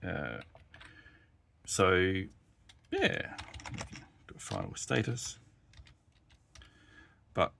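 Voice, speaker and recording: A man's voice: low-pitched, huge pitch swings, very slow, creaky, pauses often, bright, forceful tone. A man giving a casual live technical demonstration as a developer, thinking aloud hesitantly while he works and types. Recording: noisy room with keyboard clicks. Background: typing and clicking at a workbench keyboard.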